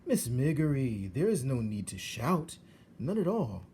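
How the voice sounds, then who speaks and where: A man's voice: mid-range voice, big pitch swings, unhurried, dark tone, slight background noise, pitched above the performer's own voice. A man voicing a scheming male rat in a storybook, with courtly, coaxing, over-polite speech. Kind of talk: storybook character voice.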